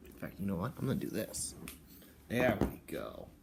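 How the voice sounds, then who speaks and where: A man's voice: low-pitched, big pitch swings, normal speed, gravelly, very noisy. A man speaking as a kindergarten teacher, explaining and reading aloud to young children and parents.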